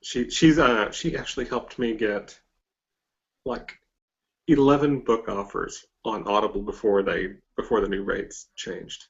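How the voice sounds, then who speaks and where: A man's voice: on the low side, measured pace, vocal fry. American man hosting, slightly lo-fi sound. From southern US or Mexico border region (Texas, Oklahoma area). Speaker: podcast host and interviewer. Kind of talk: casual interview chat.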